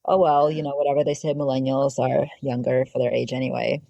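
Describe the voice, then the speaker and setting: Gravelly-voiced woman around forty. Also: a bass voice, level tone, a little fast, mellow, dry recording, lo-fi sound. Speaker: podcast host. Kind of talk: casual conversational interview.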